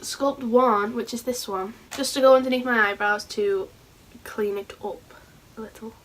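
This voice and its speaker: Hoarse chatty woman vlogger. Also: fairly high pitch, fairly even intonation, normal speed, fairly bright tone. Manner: chatty, rambling, a bit scatterbrained. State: sore throat, hoarse. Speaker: beauty vlogger. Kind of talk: casual makeup tutorial.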